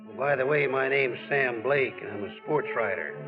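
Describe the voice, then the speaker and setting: An actor playing a plain-spoken, friendly sportswriter, narrating in a conversational, reminiscing way in a 1940s radio drama. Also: male voice, mid-range voice, moderate pace, lightly acted. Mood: reminiscing, fond. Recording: dull old radio recording, music behind.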